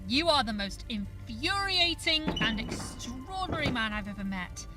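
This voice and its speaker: An actress plays a paranormal show presenter in an audio drama, feisty and exasperated. Her high voice moves about in lively swoops, emphatic and teasing. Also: normal speed, over music.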